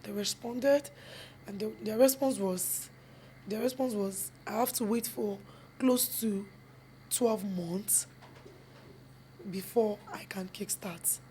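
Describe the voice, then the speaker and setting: A woman's voice: medium pitch, slow pace, long pauses, mellow, a little hiss or hum. Yoruba-accented woman telling her story. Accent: Nigerian, Yoruba. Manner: switches between English and Yoruba mid-sentence; earnest, repeats phrases for emphasis. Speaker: guest, film producer and actress. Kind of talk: storytelling interview answers.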